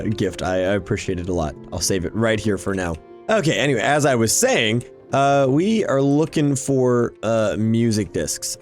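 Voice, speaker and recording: A man giving casual, enthusiastic gameplay commentary, chatting and rambling as he hosts. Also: on the low side. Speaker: video game YouTuber, host. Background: background music.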